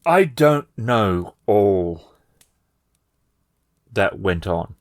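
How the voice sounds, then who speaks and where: Village man, feudal fantasy tabletop game, male player acting: lowish, slow, polite, plainspoken, halting delivery. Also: big pitch swings, no echo, clean studio sound, full character voice. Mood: somber, reflective. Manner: measured, formal, halting.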